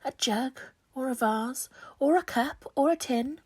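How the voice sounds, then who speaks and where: A woman voicing a gentle, helpful scarecrow bridegroom in a storybook, earnest and considerate. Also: high voice, a little slow, slightly rough, no echo, pitched above the performer's own voice. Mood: thoughtful.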